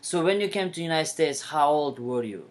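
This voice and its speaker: A man from Kyrgyzstan, a native Kyrgyz speaker, hosting an interview podcast and asking questions in a soft, slightly halting way. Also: fairly high pitch, animated. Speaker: podcast host.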